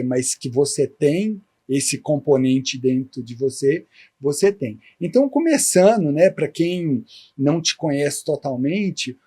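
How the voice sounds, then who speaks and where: A male podcast host and therapist asks his guest slow, reflective questions. His voice is mellow and slightly husky, and it sits a touch high for a man. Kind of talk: podcast interview.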